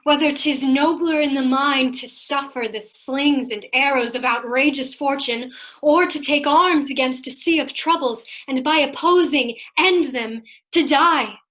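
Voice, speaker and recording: An actress playing a woman who recites a tragic soliloquy in a film, brooding and measured. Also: high-pitched, a bit bright. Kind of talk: theatrical verse recitation.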